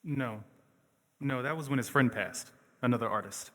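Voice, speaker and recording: A man's voice: medium pitch, moderate pace, fairly bright tone, natural voice. A man playing a painter's opinionated, fond brother in stage play dialogue. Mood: correcting, solemn. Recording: reverberant stage hall from afar.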